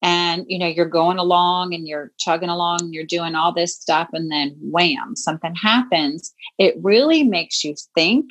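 Woman, fifties, slightly low pitch, casual guest chat, reflective, filler-heavy. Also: moderate pace, few pauses, a bit bright. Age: 50s. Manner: chatty and reflective, many "you know" fillers. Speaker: podcast guest, boutique owner.

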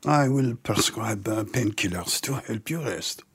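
A man's voice: lowish voice, expressive, a little slow, a bit bright, a little hiss or hum. An actor playing a mild-mannered man in a period Harlem audio drama. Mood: plain, uneasy.

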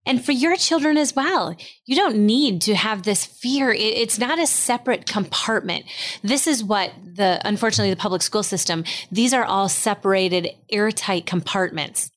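A woman's voice: medium pitch, expressive, normal speed. A woman host with a Wisconsin accent, asking questions and making points with enthusiasm and emphasis. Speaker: podcast host. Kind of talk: casual interview chat.

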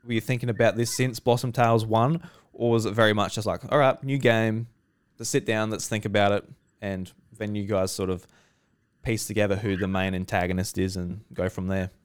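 A man with a fairly low voice hosts a casual interview. He chats loosely, full of fillers and asides. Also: steady tone, brisk, smooth. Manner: chatty, lots of fillers and laughs.

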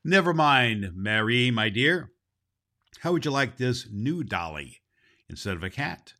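A man voicing a kindly, jolly toymaker in a storybook, speaking gently and affectionately. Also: mid-range voice, big pitch swings, measured pace, exaggerated voice.

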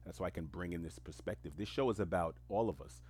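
Deep-voiced New man musing solo. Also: fast talker, a little mellow, breathy edge. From New York City, Queens. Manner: reflective, emphatic, rhetorical repetition. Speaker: podcast host. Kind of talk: solo stream-of-consciousness monologue.

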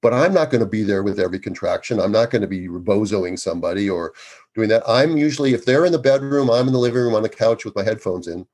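Brief: man in his sixties, obstetrician guest, loud and emphatic, husky lower voice. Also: animated, fast talker. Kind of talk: conversational interview.

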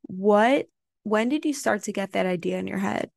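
A woman hosting an interview puts quick, curious questions to her guest. Her voice has a gravelly edge, and the recording is dry and close. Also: medium pitch, darkish tone, no room sound. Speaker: podcast host.

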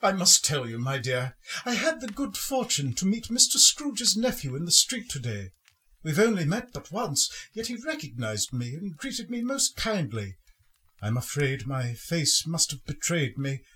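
A man playing a gentle, grieving Victorian father in a radio play, speaking tenderly and earnestly in a husky voice. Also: on the high side, big pitch swings, unhurried, heavily acted. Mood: warmly earnest. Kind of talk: sentimental radio play acting.

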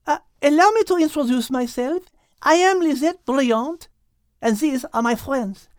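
A woman's voice: high-pitched, moderate pace, dry recording, clean studio sound, natural voice. A woman voicing a polite, curious little animal, with a hesitant manner. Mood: politely curious.

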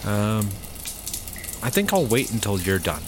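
An uptight, practical worrier from a comedy radio play. His voice sits fairly low and sounds wary and exasperated. Faint noise sits behind the dialogue. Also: big pitch swings, measured pace. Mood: uneasy, irritated. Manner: wary, exasperated straight man. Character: uptight, anxious, practical male partner prone to paranoia. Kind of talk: sci-fi comedy audio drama acting.

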